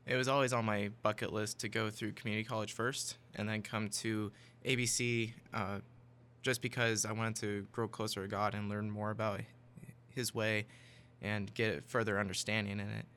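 A man of twenty-two from Tennessee, a student guest in an interview, answering plainly with a few halting fillers. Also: on the low side, little pitch movement, fairly bright tone, slight background noise. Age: 20s. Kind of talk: casual interview.

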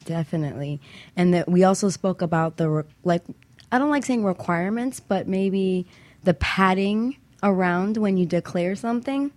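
A woman's voice: mid-range voice, moderate pace, darkish tone, dry recording. A woman co-hosting a casual talk radio show, discussing and recapping in a conversational way.

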